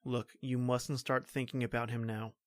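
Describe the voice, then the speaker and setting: A man's voice: medium pitch, little pitch movement, normal speed, lightly acted. An actor playing a young state trooper reassuring his sweetheart, in tender old-time radio drama style.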